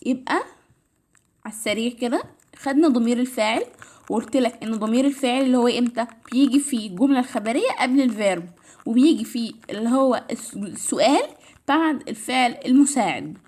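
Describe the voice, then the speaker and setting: A woman's voice: on the high side, quick pace, darkish tone. Tired-voiced Egyptian woman teaching grammar. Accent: Egyptian Arabic. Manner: explains step by step, repeats "تمام". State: tired, slightly strained voice. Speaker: English teacher. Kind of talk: casual Arabic grammar lesson.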